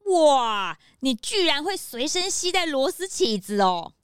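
A woman voicing an excitable, easily frightened young sidekick who complains and exclaims in a children's audio detective drama. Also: sits high, unhurried, dry recording, clean studio sound. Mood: curious, impressed.

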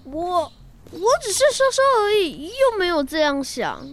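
Boy playing very high-pitched little brother. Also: big pitch swings, a little slow, slight background noise, heavily acted. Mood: envious, defensive. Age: child. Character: whiny little schoolboy brother. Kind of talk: children's radio drama acting.

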